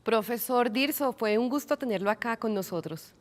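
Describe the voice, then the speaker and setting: A woman's voice: on the high side, animated, moderate pace. A woman news host presenting in a polished, formal manner. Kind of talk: scripted news hosting.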